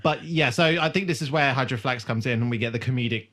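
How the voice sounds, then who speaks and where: A man with a mid-pitched voice co-hosts a podcast in casual conversation. He is jokey and swears freely as he recaps a television episode. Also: fast, a bit bright. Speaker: podcast co-host.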